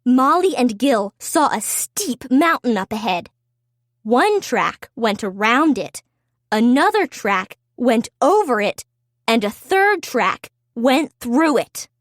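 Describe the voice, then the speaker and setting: Female children's storyteller: high voice, very lively intonation, unhurried, expressive. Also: no room sound, clean studio sound. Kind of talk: animated read-aloud storytelling for young children.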